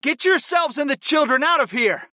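Man acting a commanding warrior hero, raised high. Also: animated, moderate pace, no echo, studio quality, full character voice. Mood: urgent, commanding. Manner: commanding shouts over a crowd. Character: heroic shapeshifter warrior husband and father, commanding, protective. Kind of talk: dramatic audiobook character acting.

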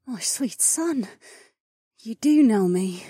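Man voicing a frail, breathy ancient she-dragon. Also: high-pitched, fairly even intonation, unhurried, dark tone, no room sound, studio quality, voice pushed up. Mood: tender, fading. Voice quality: breathy, weak. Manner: weary, murmuring. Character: frail ancient female dragon, a dying, tender mother.

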